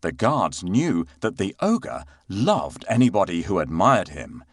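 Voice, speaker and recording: A man tells a children's fairy tale as narrator. His voice is fairly low and hugely varied in pitch, with unhurried, dramatic phrasing. The sound is dry and close. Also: no room sound. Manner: expressive, dramatic storytelling delivery. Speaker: storyteller narrator. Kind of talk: scripted children's bedtime story narration.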